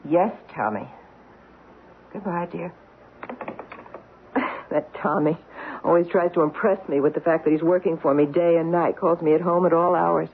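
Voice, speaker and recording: A woman's voice: lowish voice, fairly even intonation, moderate pace, pauses often, slight background noise, natural voice. Woman acting a poised socialite, muffled old radio. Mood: breezy, evasive. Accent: American. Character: wealthy, poised society woman, coolly affectionate lover.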